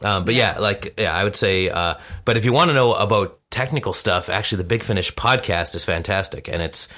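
A man with a distinctly low voice talks as a podcast guest. He is enthusiastic and wordy, in a loose, self-deprecating conversational style. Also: brisk, sharp tone. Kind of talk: casual panel chat.

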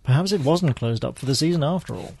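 A droll spy played by a man in a radio play, unflappable and wry. His voice is slightly husky and swings widely in pitch, while his wit stays deadpan. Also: quick pace, heavily acted. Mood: brisk, thoughtful. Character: droll, unflappable male British spy, dryly witty. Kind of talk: scripted radio theatre acting.

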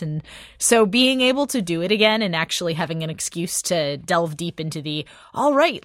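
A woman's voice: medium pitch, dry recording, studio quality. A woman talking casually and enthusiastically in a group discussion, as a radio play director.

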